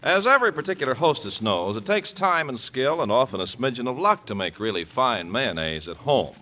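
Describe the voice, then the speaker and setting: A man delivers 1940s radio advertising in an American accent. His voice is bright and forceful, with very wide, swooping intonation. The delivery is polished and salesmanlike, as befits a program announcer. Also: mid-range voice, very animated, a little fast.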